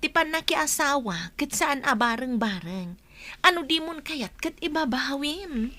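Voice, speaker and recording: A woman's voice: sits high, animated, measured pace, bright, forceful tone, dry recording, heavily acted. An actress playing a concerned young woman advising her friend in an Ilocano radio drama, earnest and questioning. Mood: cautioning.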